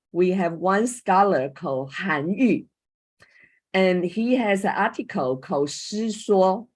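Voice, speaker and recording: Slow, measured woman speaker. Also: medium pitch. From Taiwan. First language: Chinese. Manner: measured, thoughtful, pauses often with ums. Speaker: guest speaker, coach and former teacher. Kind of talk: online panel presentation.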